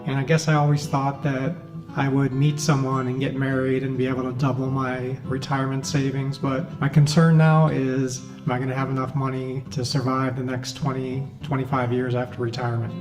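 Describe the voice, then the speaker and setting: Flat-toned American man of fifty. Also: medium pitch, brisk. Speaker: member of the public. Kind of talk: recorded personal question. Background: plucked guitar music.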